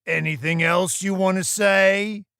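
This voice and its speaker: A man voicing a stern, dismissive boss in an animated furry sci-fi story, with a forceful, authoritative, condescending delivery. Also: sits high, a little slow, creaky, no echo, clean studio sound, pitched above the performer's own voice, exaggerated voice. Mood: brisk, dismissive.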